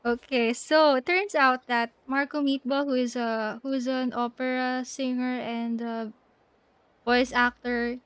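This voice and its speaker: A woman's voice: high voice, level tone, a little slow, fairly bright tone, clear, resonant tone, no room sound. A woman livestreamer giving casual game commentary, thinking aloud and often repeating her words. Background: faint game music.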